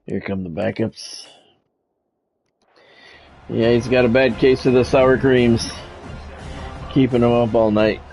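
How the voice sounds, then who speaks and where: A man's voice: medium pitch, steady tone, slow, pauses often, darkish tone, soft background music. A man calling a video game football match as a streamer, mixing announcer-style play-by-play with wry, grumbling, sometimes profane casual asides. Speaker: gaming streamer doing play-by-play commentary. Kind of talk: sports play-by-play commentary mixed with casual asides.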